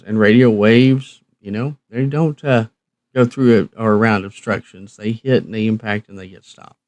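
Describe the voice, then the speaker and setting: Guy doing a casual livestream, lowish voice, folksy and kinda rambly, real dry sound no room. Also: dry recording. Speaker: live stream host, radio reviewer. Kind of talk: casual live stream commentary.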